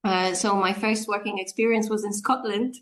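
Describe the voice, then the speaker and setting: A woman's voice: medium pitch, steady tone, moderate pace. Polish-born woman, 40s, easygoing interview guest, lots of um and little laughs. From Poland. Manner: chatty, laughs often, many fillers. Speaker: guest, business English communications coach. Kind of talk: casual interview chat.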